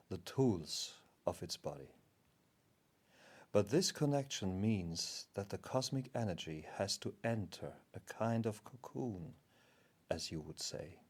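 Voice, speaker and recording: A low, unhurried cosmic guardian spirit, voiced by a man. The speech is formal and measured, like a calm teacher of spiritual matters, solemn and very deliberate.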